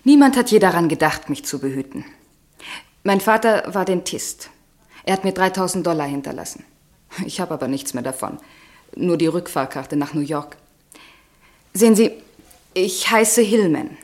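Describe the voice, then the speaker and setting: An actress playing a poor young American woman taken for an heiress, speaking with composed, gently teasing wryness in a radio drama. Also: lowish voice, expressive, moderate pace, long pauses, close to an everyday voice. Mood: wry. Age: young adult.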